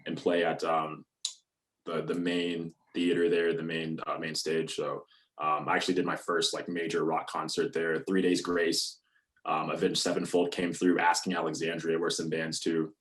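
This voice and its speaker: A young man from North Alabama with a deep, bassy voice, chatting casually as a musician guest with plenty of "you know" and "um". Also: moderate pace. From North Alabama, USA. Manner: relaxed, many fillers like "you know" and "um". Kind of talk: casual interview talk.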